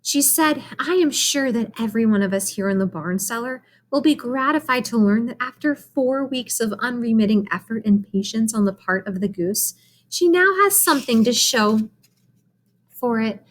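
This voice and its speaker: A woman's voice: a little high, lively intonation, moderate pace, a little hiss or hum, pitched above the performer's own voice, lightly acted. A woman voicing an earnest, chatty young farm girl from a storybook in an eager, matter-of-fact manner. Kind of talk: storybook character voice.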